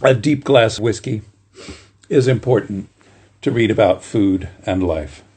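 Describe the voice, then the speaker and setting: A man reading literature aloud in a measured, deliberate way, with the informal touch of a bedtime story. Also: on the low side, a little mellow, breathy edge. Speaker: reader at a bedtime story series.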